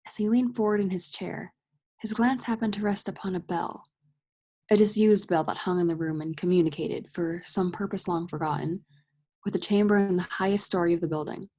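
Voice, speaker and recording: A woman narrating a radio play as a scripted story, husky and measured with dramatic delivery. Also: fairly low pitch, warm tone.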